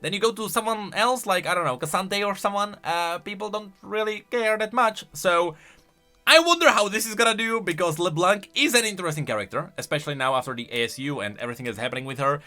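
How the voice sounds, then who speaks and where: A man's voice: sits high, fast talker, pushed and bright, faint music behind. A man giving casual, conversational reaction commentary on video game lore, musing aloud as he goes.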